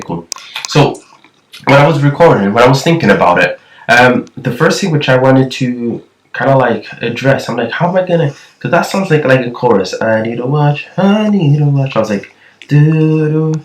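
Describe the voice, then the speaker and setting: A man with a thin voice giving a casual, rambling tutorial on nasheed production, often restarting his sentences. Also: mid-range voice. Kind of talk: casual screen-recorded tutorial. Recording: echoey room, far from the mic.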